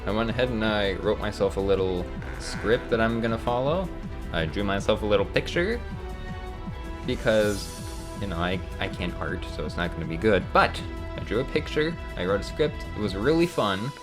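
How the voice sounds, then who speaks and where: A man's voice: on the low side, animated, moderate pace, music in the background. A young man, a channel host, giving a casual, rambling and self-deprecating spoken intro.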